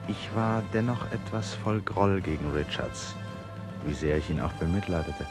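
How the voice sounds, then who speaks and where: An actor playing an earnest, resentful expedition painter who also narrates an old radio play. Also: male voice, low voice, normal speed, lightly acted. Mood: resentful narration. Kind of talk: 1950s German radio drama acting. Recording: radio play with music underneath.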